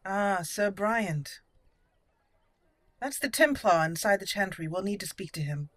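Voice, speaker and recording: Female game host: crisp, forceful roleplay commentary with a haughty theatrical streak, close dry recording. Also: mid-range voice, lively intonation, a little slow, no room sound. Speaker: gaming host. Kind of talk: roleplay game commentary, speaking in character and narrating.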